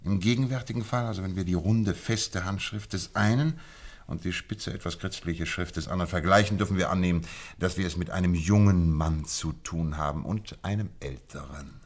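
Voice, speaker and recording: A man's voice: low-pitched, moderate pace. A man playing a confident, methodical detective in a German radio play, lecturing precisely on his deductions. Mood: explanatory, assured. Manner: lecturing, precise, self-assured.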